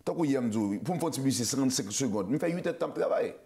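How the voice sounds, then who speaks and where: A man with a Haitian accent hosts a loose, casual talk show. He talks at a rapid clip, stumbling into restarts and repeated words. He slips Creole and English phrases into his speech. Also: mid-range voice, expressive, mellow. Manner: fast, repetitive, stammering restarts, mixes Creole and English. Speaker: show host.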